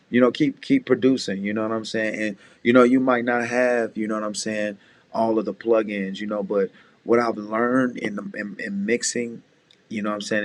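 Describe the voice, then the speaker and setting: A man with a deep voice, a music producer casually talking beginners through mixing, often saying "you know what I'm saying". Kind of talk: casual screen-share tutorial.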